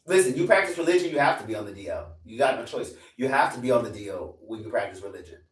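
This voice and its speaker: A man's voice: mid-range voice, lively intonation, quick pace, far from the mic. A man in his twenties hosting a live stream in a casual, profane rant that repeats lines for emphasis. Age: 20s. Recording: echoey room, away from mic.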